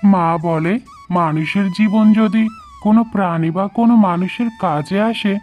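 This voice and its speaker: A man's voice: high-pitched, measured pace, lo-fi sound. A man playing a brave, earnest young adventurer in a fairy-tale cartoon. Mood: wary, earnest. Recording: music behind the voice.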